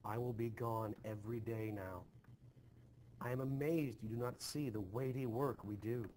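A man voicing an anxious, self-important eighteen-year-old servant girl in an old-fashioned play, with excitable, rustic archaic speech. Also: mid-range voice, moderate pace, slight background noise, lightly acted. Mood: insistent. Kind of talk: expressive play reading.

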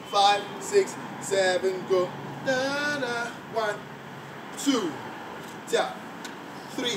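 A male dance instructor counting beats aloud and explaining steps, chanting along with the music. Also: high-pitched. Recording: roomy, far from the mic, loud background noise.

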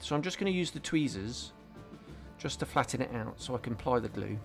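Man explaining a model build, unhurried. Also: mid-range voice, animated, gravelly, over music. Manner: casual, explanatory, frequent ums and restarts. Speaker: scale modelling channel host. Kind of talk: relaxed hobby tutorial voice-over.

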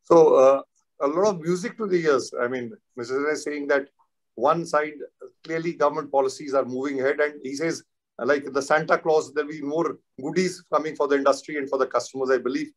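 A man's voice: a little high, a little fast, poor audio. A man in his fifties with an Indian accent, moderating a panel discussion over a video call. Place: room at home, indoors. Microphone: video call, computer mic.

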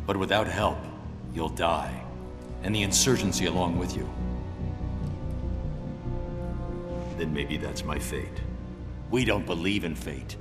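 A grim, determined vigilante superhero , acted by a man, with a low, deep voice and a terse, grave delivery. Game cutscene drama, with music clearly playing behind him. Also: moderate pace, lightly acted.

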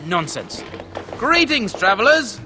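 High, animated, smug bandit leader. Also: male voice, slow pace, background noise, heavily acted. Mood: cheerfully sly. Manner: mock-polite, theatrical. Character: smug, glib male highwayman gang leader. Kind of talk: fantasy video game acting.

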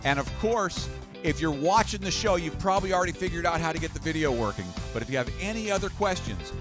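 An American man in middle age works as an event emcee, with a slightly high voice. His delivery is upbeat and conversational, with emphatic announcements. Music plays clearly behind him. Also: lively intonation, fast. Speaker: event host and auction emcee. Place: school hall stage. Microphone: handheld microphone.

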